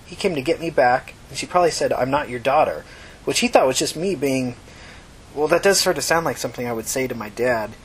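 A woman's voice: very deep voice, lively intonation, a little fast, a little hiss or hum, rough recording. A woman voicing a desperate, stammering teenage runaway girl in an emotional audiobook story. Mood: puzzled. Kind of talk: audiobook character dialogue.

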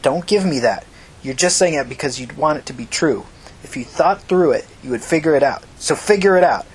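A man's voice: a little high, expressive, brisk, breathy edge. A man voicing a vengeful, foul-mouthed young woman who threatens and commands in short emphatic bursts in an audiobook story. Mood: threatening, insistent.

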